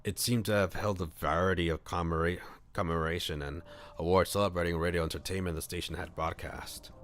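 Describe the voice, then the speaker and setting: A man's voice: low-pitched, fairly even intonation, fast, fairly bright tone. A man reading a visual novel aloud as a streamer, mixing scripted narration with casual asides. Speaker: streamer, VTuber. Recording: music behind the voice. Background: background music.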